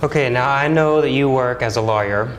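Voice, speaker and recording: A man of medium pitch hosts a relaxed interview and asks questions in a conversational way. His voice rings in an echoey room with a faint hiss underneath. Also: fairly quick. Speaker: interview host. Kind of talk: casual interview.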